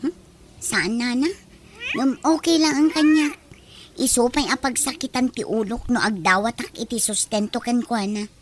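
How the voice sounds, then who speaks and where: A woman's voice: a little high, moderate pace, close to an everyday voice. A woman playing a hardworking, polite young mother and dutiful daughter in a radio drama. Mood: resigned. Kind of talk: comedy radio drama acting. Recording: noticeable background noise.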